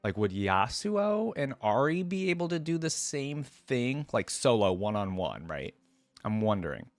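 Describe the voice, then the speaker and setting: A man's voice: lowish voice, no echo. A man hosting a reaction video, casually reading aloud and musing with his own commentary.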